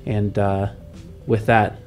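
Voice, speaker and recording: A man's voice: fairly low pitch, little pitch movement, slow, a little mellow. Young man chatting casually over music. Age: young adult. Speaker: podcast host and game master. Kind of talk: casual podcast chat.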